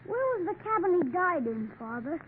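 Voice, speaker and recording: A high eager little boy. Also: very animated, moderate pace. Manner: eager, questioning. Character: young crippled boy, hopeful and eager. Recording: tinny old radio broadcast with hiss.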